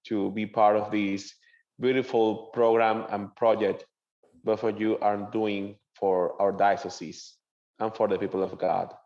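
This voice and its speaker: A man's voice: lowish voice, level tone, a little mellow. Earnest Venezuelan-accented man, dull audio. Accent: Venezuelan Spanish. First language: Spanish. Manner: earnest, halting non-native phrasing. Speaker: seminarian guest. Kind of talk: interview answers.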